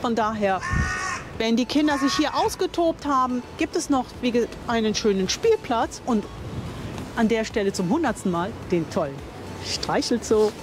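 A chatty older woman with a fairly high voice walks a campsite and talks to the camera. She is enthusiastic and often corrects herself mid-sentence. Music plays clearly behind her outdoors. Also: expressive, normal speed. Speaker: vlogger touring a campsite. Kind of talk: casual on-camera tour commentary.

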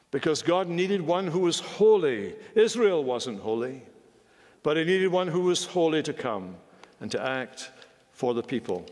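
A man in his sixties preaching a sermon from a pulpit in a large wood-panelled church, emphatic and measured, with wide swings of pitch. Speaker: senior minister preaching. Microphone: pulpit microphone. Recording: long church hall reverb.

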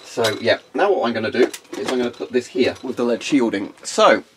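A man's voice: mid-range voice, lively intonation, brisk, fairly bright tone, a little hiss or hum. A young man with a congested, phlegmy voice, casually demonstrating equipment in a carpeted room and rambling with frequent ums. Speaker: hobbyist video host.

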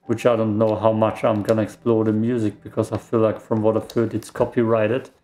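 A man's voice: lowish voice, level tone, brisk, dark tone. A man whose first language is German, casually commenting while playing a game, rambling and reacting aloud with self-deprecating remarks, recorded on a desk microphone at a home gaming setup. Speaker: let's play gamer.

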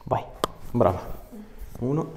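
A man, a chiropractor giving casual instructions and chatting with a patient. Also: slow. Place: small indoor clinic treatment room. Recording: echoey treatment room, faint noise.

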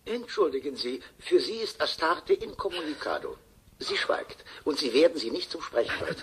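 An actor playing an aloof, precise recorded computer message in a German radio drama. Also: male voice, on the high side, big pitch swings, moderate pace, a bit bright, husky, full character voice. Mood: formal, aloof. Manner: formal, precise, instructing.

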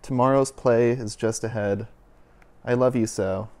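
A young man, a children and families minister, reading a picture book aloud in a gentle storybook cadence, seated on a living-room couch with a lapel mic. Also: mid-range voice, steady tone, a little slow. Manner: gentle storybook cadence, softening toward the end.

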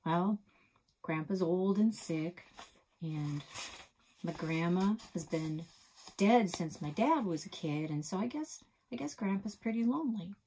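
A sulky young boy, homesick and full of complaints, rambling on about everything he dislikes, as a woman reading a storybook puts him on. The voice is a little husky and sits low for a woman. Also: expressive, a little slow, warm tone, full character voice, poor audio. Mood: complaining.

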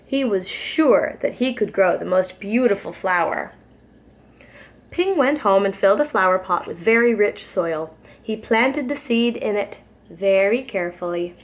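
Young woman reading a picture book slowly. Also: mid-range voice. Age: young adult. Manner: expressive, careful storytelling to small children. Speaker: preschool teacher reading aloud. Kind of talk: children's picture book read-aloud.

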